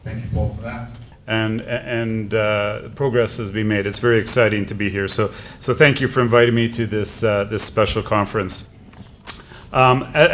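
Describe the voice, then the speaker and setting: A man's voice: mid-range voice, fairly bright tone, a little hiss or hum. Middle-aged Canadian man, conference speaker, casual delivery with fillers. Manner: conversational, with frequent fillers. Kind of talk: conference speech.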